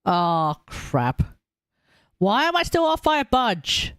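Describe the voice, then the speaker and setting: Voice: medium pitch, very animated, slow, fairly bright tone, clear, resonant tone, no echo, clean studio sound. A live streamer giving casual gaming commentary, thinking aloud and talking to the chat.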